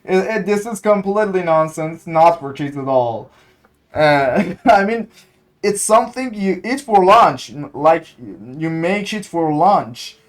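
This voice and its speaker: Slow-talking Iranian man, hesitant. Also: fairly high pitch, animated. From Iran. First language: Persian. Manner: hesitant, searching for English words. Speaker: gaming content creator. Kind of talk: casual gameplay commentary.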